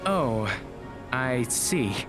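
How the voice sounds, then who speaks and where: A woman's voice: a bass voice, sing-song, drawn-out words, music in the background, heavily acted. A woman playing a shy, polite teenage schoolboy in an audio drama, hesitant and prone to stammering. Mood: shy, nervous.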